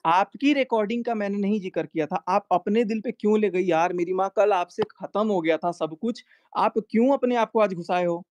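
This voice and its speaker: A man's voice: high voice, normal speed, darkish tone, dry recording. A man in a heated argument, insistently repeating himself and cutting in to make his point. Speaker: YouTube vlogger.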